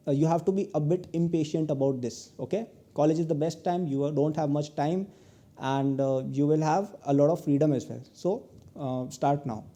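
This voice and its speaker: A young man in his twenties with an Indian English accent gives earnest advice in a recorded lecture. His pitch sits a little high for a man, but his tone is very dark and mellow. He keeps ending points with "okay?". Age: 20s. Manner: earnest advice, tags many lines with "okay?". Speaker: guest lecturer, entrepreneur.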